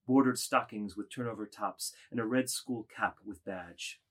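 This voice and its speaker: A man's voice: on the low side, normal speed. A man reading stage directions as scripted literary narration.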